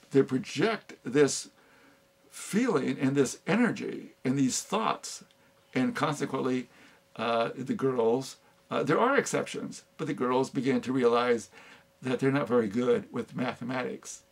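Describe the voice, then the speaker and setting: Gravelly older man, halting and unhurried. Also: medium pitch, lively intonation. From United States. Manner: halting, many fillers and restarts. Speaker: speaker on philosophy. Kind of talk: unscripted talk.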